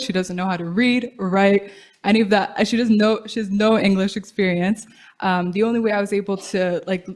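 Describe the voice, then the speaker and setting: A young woman student gives an earnest short pitch at an event. She speaks at a middling pitch and leans on frequent fillers. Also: a little mellow. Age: young adult.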